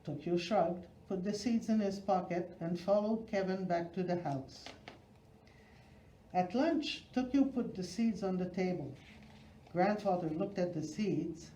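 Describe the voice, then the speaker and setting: A woman's voice: on the low side, a little slow, dark tone, slight background noise. A woman reads a children's picture book aloud as a storyteller, expressively, phrase by phrase with emphasis. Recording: roomy, slightly dull recording.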